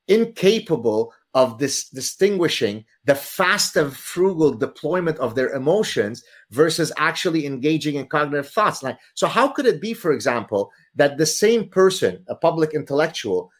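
A man whose first language is Arabic, a guest author explaining his ideas in a lively, theatrical, lecturing way. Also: a little high, normal speed, few pauses. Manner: lecturing, theatrical, uses vivid examples. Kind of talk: animated explanatory talk.

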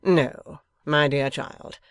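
A storybook reader voicing a smug, showy gentleman husband with a pompous, measured, smooth-talking manner. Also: medium pitch, fairly even intonation, dry recording. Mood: rallying.

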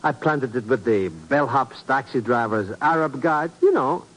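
Jumpy, grumbling hustler, lively swooping pitch. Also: male voice, measured pace. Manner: hesitant, stammering, grumbling, naive. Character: jumpy, complaining small-time hustler sidekick with muddled slang. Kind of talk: 1950s radio drama acting. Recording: noisy vintage 1950s radio recording.

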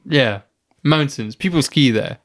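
A man's voice: medium pitch, measured pace, fairly bright tone. A man co-hosting a casual podcast, tossing in jokey questions and teasing asides. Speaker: podcast co-host. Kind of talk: casual chat.

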